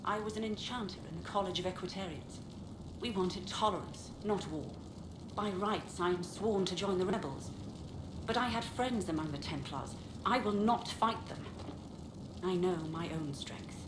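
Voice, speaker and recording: An actress playing a dignified, principled mage in a video game, formal and resolute in delivery. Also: female voice, on the low side, moderate pace, close to an everyday voice. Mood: proud, resolute. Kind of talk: video game dialogue acting. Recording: echoey, noisy background.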